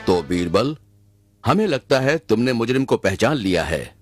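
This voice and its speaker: A man's voice: mid-range voice, very animated, moderate pace, lightly acted. A man voicing a stately Mughal emperor in a cartoon, regal and commanding, stern but fair. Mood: regal, expectant. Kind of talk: Hindi cartoon voice acting. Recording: music behind the voice.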